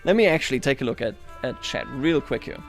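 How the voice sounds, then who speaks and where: A man's voice: mid-range voice, animated, fast, soft background music. A man hosting a livestream, chatting casually and thinking aloud over a strategy game in a wry, playful way. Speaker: livestream host.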